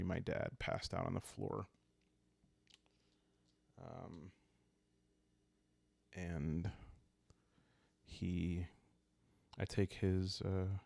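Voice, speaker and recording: Male solo RPG host, ASMR storytelling: deep, unhurried, calm, musing, hesitant. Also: creaky, breathy edge, no echo. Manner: hesitant, musing, thinking aloud. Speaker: solo roleplaying game host.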